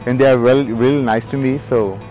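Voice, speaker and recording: A man's voice: mid-range voice, steady tone, a little fast, mellow, poor audio. Brazilian-accented man, faint music. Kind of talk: casual unscripted interview.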